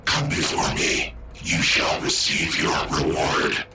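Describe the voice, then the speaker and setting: An ancient war-machine intelligence speaks through a processed, inhuman filter. Its voice sits extremely low and moves slowly, each line a flat, clipped command. Cold and imperious, it sounds like nothing human in this game. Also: male voice, loud music behind the voice, heavily acted. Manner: terse, flat, issues commands.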